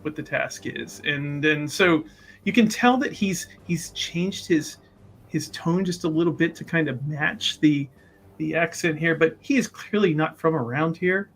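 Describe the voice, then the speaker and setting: A man's voice: on the high side, unhurried, a bit bright. A man playing a tabletop roleplaying game, casually explaining his character and often repeating and rephrasing his words as he goes.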